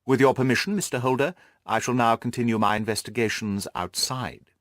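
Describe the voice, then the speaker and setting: A man voicing a brisk, courteous and confident detective with precise, self-assured speech in an audiobook. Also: mid-range voice, a bit bright, dry recording, studio quality, natural voice. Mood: courteous, decisive. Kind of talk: audiobook character dialogue.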